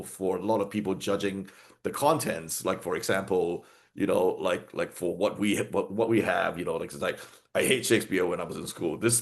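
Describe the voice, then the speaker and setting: A man who publishes books, talking in a rambling way on a panel video call, full of restarts and fillers. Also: sits low. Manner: rambling, many restarts and fillers, frequent laughter.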